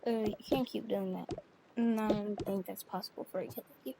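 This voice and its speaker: A woman's voice: mid-range voice, fairly even intonation, fairly quick, creaky, background noise, lightly acted. A woman voicing an unimpressed thirty-year-old dad in an amateur family role-play, casual and scoffing. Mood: dismissive, unimpressed.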